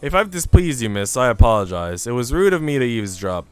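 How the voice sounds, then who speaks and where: Man voicing a timid, polite young housemaid, clear. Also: mid-range voice, very animated, fairly quick, faint music behind, natural voice. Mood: apologetic. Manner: hesitant, deferential.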